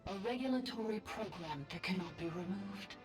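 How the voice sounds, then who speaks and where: A woman's voice: medium pitch, moderate pace, over music, lightly acted. A woman playing an ancient sovereign priestess speaking through a robot in a video game, solemn, formal and cryptic.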